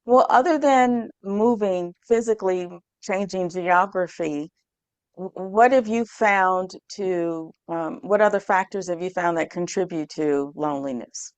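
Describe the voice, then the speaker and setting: Woman host, very slow, smooth and clear, dry close sound, hesitant questioner. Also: fairly low pitch, no echo. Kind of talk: interview questions.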